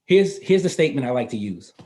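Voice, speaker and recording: A man in his fifties talks through financial ideas in an easygoing, explanatory way. His voice sits at an ordinary middle pitch, and he laughs readily, sometimes restarting his phrases. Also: very animated, fast talker, mellow. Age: 50s. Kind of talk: casual interview explanation. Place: North Carolina.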